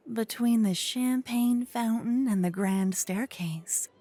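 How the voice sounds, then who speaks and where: Woman voicing a playful royal tailor, fantasy ASMR roleplay, breathy, unhurried, close-miked, gossipy, elegantly teasing, faint music behind. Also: on the high side, dark tone, dry recording. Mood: teasing, confident. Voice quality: breathy, often dropping into whispers. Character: flirtatious, witty royal tailor at a fantasy ball. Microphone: close binaural microphone.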